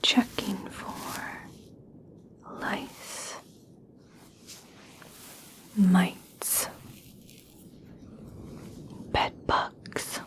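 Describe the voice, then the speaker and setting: A gentle young elf princess, acted by a woman speaks in a breathy whisper. She is extremely slow and deliberate, leaving long pauses. The delivery is soothing and caring, in the style of an ASMR personal attention roleplay. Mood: gently explaining.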